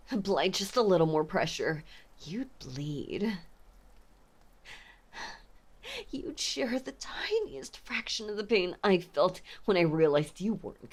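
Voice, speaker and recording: A woman playing an obsessive, possessive mafia heiress ex-lover in an intimate audio drama, speaking with menacing sweetness and often slipping toward a breathy whisper. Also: lowish voice. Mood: wounded menace. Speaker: voice actor. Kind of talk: intimate dramatic roleplay monologue.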